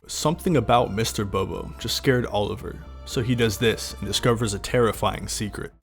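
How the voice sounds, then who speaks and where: A man's voice: fairly low pitch, fairly quick, gravelly, over music. A man giving casual, easygoing commentary over horror video clips, plainly appreciative.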